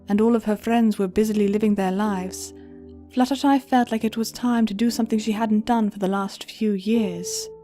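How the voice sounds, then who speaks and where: A woman's voice: medium pitch, dark tone. A woman reading scripted story narration as an audiobook narrator.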